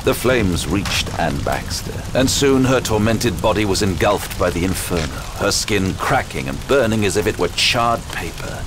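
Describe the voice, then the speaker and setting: An actor playing a haunted, hardened detective who narrates a horror audio drama gravely and dramatically. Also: male voice, fairly low pitch, animated, moderate pace, few pauses, music in the background, close to an everyday voice.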